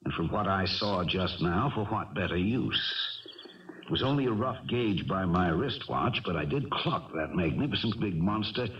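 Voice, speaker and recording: An actor playing a genteel older gentleman horse owner, courteous, measured and formal, in a 1970s radio drama. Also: deep voice, animated. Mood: courteous, curious. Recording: muffled, distant old radio broadcast, slight noise.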